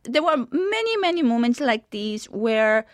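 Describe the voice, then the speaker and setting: A woman's voice: on the high side, big pitch swings, measured pace, no echo. Middle-aged woman from south korea, author on a radio interview, earnest, restarts a lot, dry close mic. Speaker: author and journalist guest.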